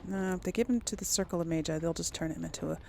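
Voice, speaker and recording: A woman's voice: lowish voice, rapid-fire, gravelly, mellow, a little hiss or hum. A woman hosting a gaming video, role-playing casually and musing aloud in a hesitant way. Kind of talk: casual role-play reactions over a game.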